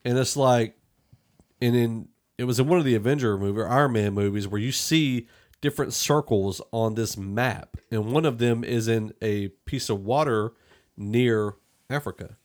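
A man hosting a podcast, casually discussing a film and thinking aloud in a halting way. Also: medium pitch. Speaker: podcast host.

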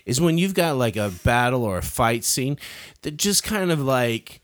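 A man's voice: medium pitch, lively intonation, normal speed. A man giving a casual, opinionated film review as a podcaster, often stammering and repeating words as he starts.

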